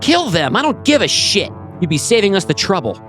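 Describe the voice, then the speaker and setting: A man's voice: high voice, big pitch swings, a little fast, fairly bright tone, soft background music. A man acting in an audio play as a bitter, grieving leader of an armed band of widows. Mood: defiant, furious.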